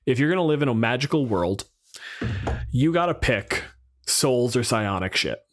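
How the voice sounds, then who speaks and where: A man's voice: mid-range voice, expressive, normal speed. Casual, sweary man co-hosting a podcast. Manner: sweary, argumentative, thinks aloud. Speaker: podcast host. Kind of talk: casual, joking podcast discussion of game monsters.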